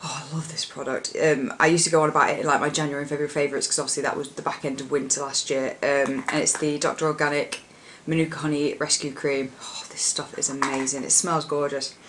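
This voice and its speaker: A young woman with a husky voice, chatting casually about her favourite products in a rambling, filler-heavy way. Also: sits low, little pitch movement, fast talker, noisy background. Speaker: beauty vlogger. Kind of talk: casual product chat to camera.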